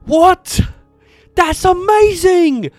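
A man's voice: very high-pitched, lively intonation, very slow, no echo, faint music behind, pitched above the performer's own voice, exaggerated voice. A man voicing an eager, naive young male movie star in a pleading, wide-eyed way for a comic story. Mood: amazed.

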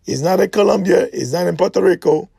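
A man's voice: high voice, quick pace, gravelly, dark tone, breathy edge, dry recording, clean studio sound. A man from Haiti, giving an impassioned lecturing monologue, emphatic and insistent, repeating his points and checking in with "okay?". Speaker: speaker giving a history talk.

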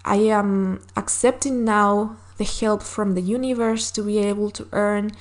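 A woman's voice: mid-range voice, fairly even intonation, measured pace. A woman whose first language is Spanish, hosting a solo podcast and giving earnest, encouraging advice with frequent fillers and restarts. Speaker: podcast host.

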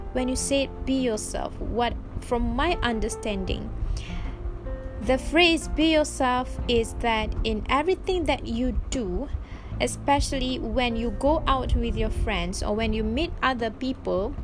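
A woman whose first language is Malay, speaking English slowly in a casual reflection with frequent pauses and restarts. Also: fairly high pitch. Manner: slow, searching, many restarts. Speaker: vlogger practicing English.

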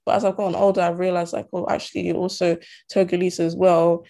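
A woman's voice: fairly low pitch, flat delivery, fast talker, gravelly, mellow. A young woman from Ghana and Togo talking earnestly in a casual conversation, with many fillers and restarts. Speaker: student guest. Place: diaspora.

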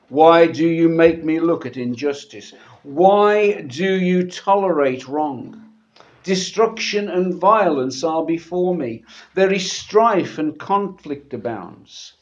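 Slightly husky male preacher, dull recording. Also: a little high. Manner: halting, conversational, often repeating words. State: sore throat after a medical procedure. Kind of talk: church sermon with scripture reading. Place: church, speaking to a congregation. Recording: dull, muffled church recording.

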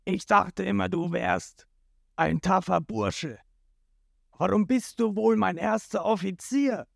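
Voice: medium pitch, animated, slow pace, vocal fry, no echo, clean studio sound, voice pushed up, full character voice. A narrator voicing a cruel, domineering male pirate captain with a menacing, scornful manner in a storybook retelling. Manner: menacing, sneering.

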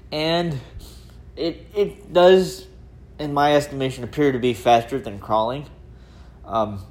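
A man's voice: medium pitch, darkish tone, a little hiss or hum. An American man hosting a casual personal audio diary, talking in a chatty, rambling way. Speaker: podcast host. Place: indoors at home, room with laminate floor.

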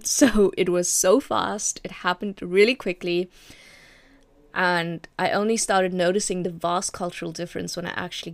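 Gravelly-voiced South African woman podcaster. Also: fairly low pitch, no room sound. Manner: chatty, self-deprecating, frequent fillers and laughs. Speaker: podcast host. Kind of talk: personal storytelling monologue.

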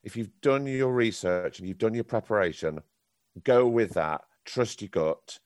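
Deep-voiced man giving candid advice. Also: unhurried, no echo, studio quality. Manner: candid, encouraging advice with frequent ums and restarts. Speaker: professional actor answering questions. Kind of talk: Q&A with a youth theatre group.

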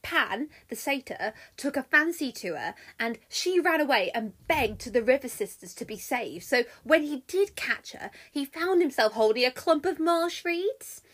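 A woman's voice: sits high, lively intonation, moderate pace, few pauses, fairly bright tone, breathy edge, heavily acted. A British woman voicing a glib male messenger god who spins a tale casually and theatrically in a comic myth. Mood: grinning, glib.

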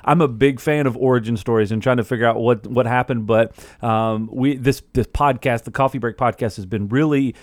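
A man's voice: mid-range voice, fairly even intonation, fairly quick, hardly any pauses, no room sound. Podcast host guy, medium voice, dry sound, chatty and curious. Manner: friendly, curious questioning. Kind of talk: casual interview chat.

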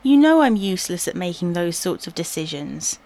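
A woman's voice: on the low side, very animated, normal speed, darkish tone, poor audio. An actress playing a self-doubting wife and new mother in a domestic audio drama, hesitant and questioning. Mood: anxious, unsure.

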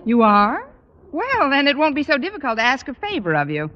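A wisecracking teacher. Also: female voice, high-pitched, wildly swooping pitch, a bit bright, muffled recording, full character voice. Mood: wry. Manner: wry, quick with quips. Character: wisecracking dry-witted female English teacher. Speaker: schoolteacher. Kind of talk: 1950s radio sitcom acting. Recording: old radio broadcast with audience and hiss.

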